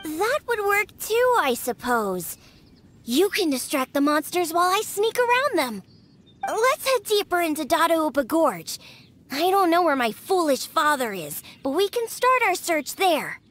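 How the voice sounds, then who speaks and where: High-pitched, pert little cat girl. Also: big pitch swings, measured pace, a bit bright, full character voice. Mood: bossy, businesslike. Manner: pert, scolding, childlike. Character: feisty, scornful young cat girl, exasperated daughter. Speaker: game character voice actor. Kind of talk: video game character dialogue.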